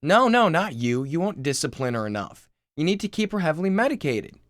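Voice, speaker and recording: A man's voice: a little high, big pitch swings, a little fast, fairly bright tone, dry recording, studio quality, pitched above the performer's own voice. A man voicing a brash, self-obsessed father in his forties, boastful and exasperated, in a screenplay reading.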